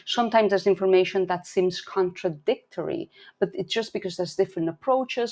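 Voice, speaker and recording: Gravelly low-voiced woman with Spanish accent. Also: darkish tone. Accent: Spanish (Barcelona). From Barcelona, Spain. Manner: earnest, practical, with frequent restarts and self-corrections. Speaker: early intervention specialist and webinar host. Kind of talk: presenting an educational webinar.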